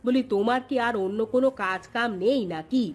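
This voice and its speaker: Woman acting a sharp-tongued, nagging cartoon wife. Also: fairly high pitch, brisk, faint music behind, close to an everyday voice. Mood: scolding. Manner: scolding, sarcastic, pointed rhetorical questions.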